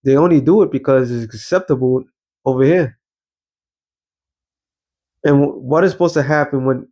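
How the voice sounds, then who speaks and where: Guy doing a street style bible talk, blunt and sweary, lots of slang, keeps asking questions like a teacher, average pitch. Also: a little mellow. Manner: rhetorical questions and repeated check-ins like a teacher. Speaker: preacher and show host. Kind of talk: informal street sermon with scripture reading and commentary.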